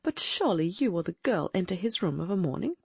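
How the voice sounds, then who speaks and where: A woman performs a confident Victorian detective who thinks aloud in crisp, exact sentences. The voice moves up and down a lot, with a gravelly, slightly husky grain. The recording is very dry and close. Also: on the low side, very animated, fast, dry recording, full character voice. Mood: questioning. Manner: crisp, reasoning aloud, precise diction. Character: brilliant Victorian gentleman detective, male, sharp and confident. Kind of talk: audiobook dramatic reading.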